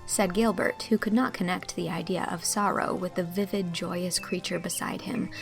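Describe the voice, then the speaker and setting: A woman's voice: on the low side, steady tone, normal speed, vocal fry. Woman narrating a story, music behind. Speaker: audiobook narrator.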